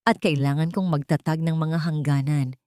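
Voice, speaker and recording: A woman's voice: low-pitched, steady tone, moderate pace, darkish tone, no room sound, clean studio sound, lightly acted. A woman voicing a hurt but resolute young adult daughter in an audio love story, earnest and emotional.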